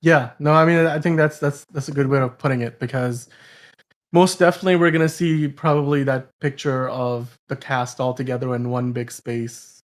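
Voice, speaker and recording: A man's voice: mid-range voice, steady tone, moderate pace. A man hosting a podcast in a casual, rambling, chatty way, talking through entertainment news. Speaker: host of a film news podcast.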